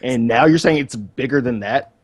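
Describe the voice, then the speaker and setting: A man's voice: mid-range voice, normal speed, dry recording. A man chatting casually as the co-host of a gaming podcast.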